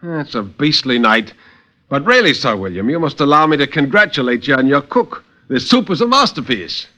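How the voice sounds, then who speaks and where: Man acting a nervous, high-strung British guest, pitched up. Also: sing-song, moderate pace, bright, forceful tone. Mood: effusive, polite. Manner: stammering, flustered, over-polite protesting. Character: nervous, vain, guilty man in late thirties. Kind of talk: 1950s radio horror acting. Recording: dull, muffled vintage radio recording.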